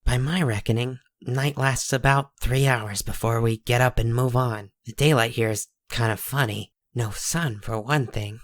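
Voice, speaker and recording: A man playing a cynical, hard-bitten dead man who narrates a comic dub in a husky, world-weary, folksy way. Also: mid-range voice, fairly even intonation, normal speed, hardly any pauses, no room sound, natural voice. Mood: dryly sardonic.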